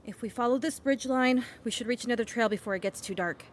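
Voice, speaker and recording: A woman's voice: on the high side, fast talker, a little hiss or hum, natural voice. A woman playing a plucky, protective hiker in an audio drama, coaxing and slangy. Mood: reassuring.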